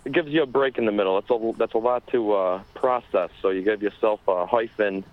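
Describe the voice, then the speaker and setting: A man voicing a shady, smooth-talking male caller who drawls and hesitates a lot. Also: on the low side, brisk, no echo, natural voice. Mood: sly. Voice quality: drawling, with many filler sounds. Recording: dull lo-fi phone sound.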